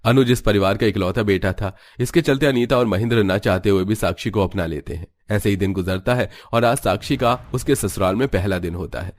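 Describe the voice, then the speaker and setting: A man's voice: fairly low pitch, fairly quick, hardly any pauses. A man narrating a scripted story. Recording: music bed under voice. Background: background music.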